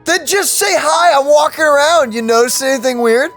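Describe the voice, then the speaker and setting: A woman's voice: high voice, normal speed, soft background music. A woman doing casual, slangy let's-play commentary, often mock-appalled. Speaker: gaming channel co-host.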